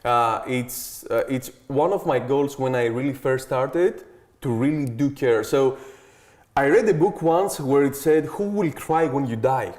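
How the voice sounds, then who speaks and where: A man's voice: mid-range voice, unhurried, a little mellow. Confident Greek-accented man. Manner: eager, confident, keeps adding "okay" and "like". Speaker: interview guest. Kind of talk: casual interview talk.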